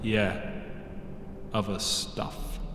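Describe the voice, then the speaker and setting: A man's voice: lowish voice, flat delivery, very slow, background noise. A man playing a knowing, weary time-travelling hero in a fan audio drama, dry and understated. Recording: echoey hall reverb, music behind.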